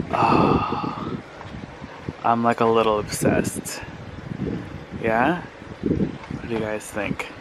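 A man in his thirties vlogging casually into a handheld camera, chatty and excitable, talking straight to his viewers. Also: medium pitch, background noise. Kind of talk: casual vlog narration. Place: car, outdoor yard and thrift store.

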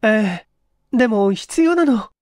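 A woman's voice: fairly high pitch, expressive, slow pace, darkish tone, no echo, studio quality. A woman playing a polite, composed young lady with a secret, pleading in refined feminine speech in a mystery audio drama.

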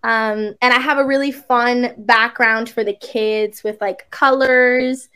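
Bubbly high-voiced woman tutor, unhurried. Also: fairly even intonation, sharp tone, smooth. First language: Spanish. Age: young adult. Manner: bubbly, chatty, laughs often. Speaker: online English tutor. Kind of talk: casual chat on a video call. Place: indoor room. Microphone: video call.

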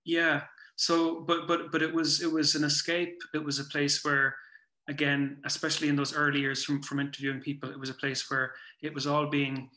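A man with a Cork Irish accent talks casually and reflectively, halting and rephrasing as he goes. His voice is bright and fairly level in pitch. It comes over a video call from a roomy indoor space. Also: completely flat delivery. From Cork, Ireland. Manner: halting, circling back to rephrase. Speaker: guest, playwright and performer. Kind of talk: casual reflective interview chat. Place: small room at home, indoors. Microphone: computer mic on a video call.

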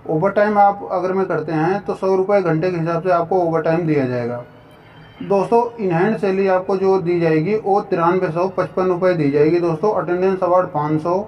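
High-voiced man reading job details. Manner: repetitive, addresses viewers as friends. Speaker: job-listing YouTube host. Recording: distant, dull lo-fi mic with faint noise.

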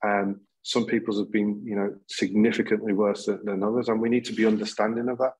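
A man's voice: fairly low pitch, little pitch movement, fairly quick, darkish tone, a little hiss or hum, poor audio. A man in his thirties on a video call, speaking earnestly as a panel guest, often restarting and repeating words. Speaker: panel guest, school leader. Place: plain indoor room.

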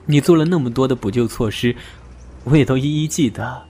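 An actor playing a reserved, wounded young man in an audio drama, speaking in a measured, resigned, serious way. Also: medium pitch, mellow, slight background noise, close to an everyday voice. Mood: quietly firm. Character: reserved, wounded young man setting terms to a former lover. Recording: music behind the voice. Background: music.

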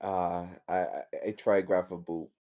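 Low-voiced man playing a tabletop game. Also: flat delivery, unhurried, dark tone. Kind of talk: casual chat.

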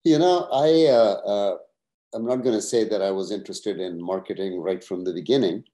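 A man in his sixties, originally from Pakistan, answering interview questions over a video call at a measured pace, with many "uh" pauses. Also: fairly low pitch, warm tone. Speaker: guest, professor and retired executive.

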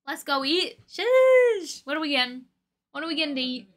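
A young woman hosting a livestream, chatting casually with viewers in a playful, slangy, expressive way. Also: high-pitched, normal speed. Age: young adult. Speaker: livestream host. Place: indoor room with desk and shelves of collectibles.

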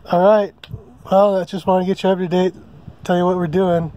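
A man hosting a casual camping vlog from a mountain meadow, talking in a relaxed, rambling way with many ums and uhs. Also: sits high, fairly quick, poor audio. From Hawaii. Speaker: vlog host. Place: open mountain meadow campsite outdoors.

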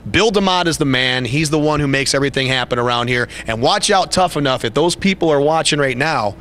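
A man's voice: a little high, expressive, brisk, fairly bright tone. A middle-aged man interviewing guests at a convention with a handheld mic in an indoor room, talking in an upbeat announcer manner. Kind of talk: event interview.

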